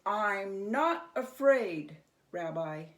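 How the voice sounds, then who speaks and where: A woman voicing a brave, clever man in a children's storybook, with a bold, deliberate, challenging manner. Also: medium pitch, expressive, a bit bright. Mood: bold, eager. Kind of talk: acted children's storybook reading.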